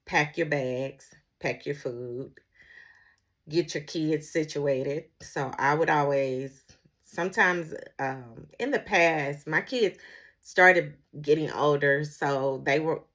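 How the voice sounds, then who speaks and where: A middle-aged woman with a low-pitched voice chats as if to her vlog viewers. Her delivery is informal and conversational, wandering from point to point and often pausing to search for a word. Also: fairly bright tone. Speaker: vlogger, former emergency dispatcher. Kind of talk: casual solo vlog chat.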